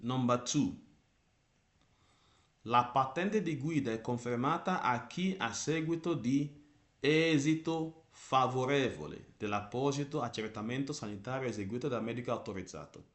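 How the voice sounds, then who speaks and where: A man teaching driving theory, reading out Italian rules and explaining them slowly in English with lots of repetition. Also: medium pitch, echoey room. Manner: reads Italian text then explains it in English, repeating terms. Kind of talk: slow explanatory lesson.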